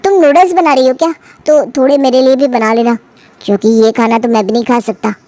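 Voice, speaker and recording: A frustrated young man, voiced by a woman in a cartoon family story. He grumbles wearily in a high-set voice, recorded close and dry. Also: steady tone, fairly quick, warm tone, no room sound, close to an everyday voice. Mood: reluctant. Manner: grumbling, weary.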